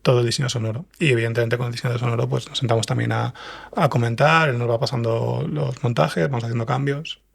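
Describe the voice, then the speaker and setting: Interview guest, male, Spain accent. Very gravelly, quick, conversational delivery. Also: mid-range voice, steady tone. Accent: Spanish (Spain). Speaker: podcast writer and director, interview guest. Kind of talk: casual conversation.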